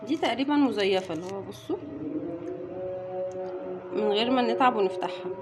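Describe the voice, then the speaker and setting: A woman's voice: mid-range voice, expressive, fairly quick, over loud music. A young woman with an Egyptian accent, chatting casually to viewers as she unboxes snacks and counts items aloud. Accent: Egyptian Arabic. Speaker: family vlogger and mother. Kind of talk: casual unboxing vlog chat.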